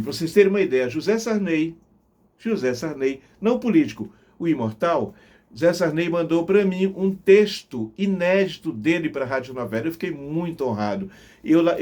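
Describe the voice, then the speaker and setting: A man's voice: medium pitch, darkish tone. A middle-aged man, reminiscing to viewers like a veteran radio announcer, with emphatic, theatrical storytelling. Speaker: veteran radio announcer telling career memories on his channel.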